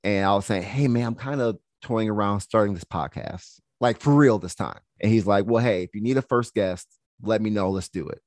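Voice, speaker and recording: A young man, a health coach, chatting casually in an interview, relaxed and laughing easily, with plenty of "you know"s. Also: on the low side, a little fast, no room sound, clean studio sound. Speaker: health coach and podcast guest.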